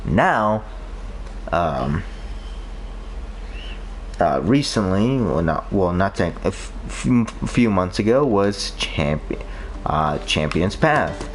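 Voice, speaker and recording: A man streaming a game who talks in a monotone, chatting casually and rambling with many fillers and restarts. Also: sits low, slow pace, pauses often, a little hiss or hum. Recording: loud game music behind the voice. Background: loud game music.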